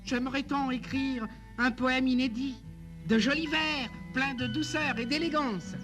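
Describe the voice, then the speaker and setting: A high-pitched, childlike puppet creature from a children's TV show, dreamy and easily offended. It declaims like a grand misunderstood poet, sulky and theatrical, over music. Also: steady tone, a little slow, fairly bright tone. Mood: melodramatic. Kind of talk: children's TV character dialogue.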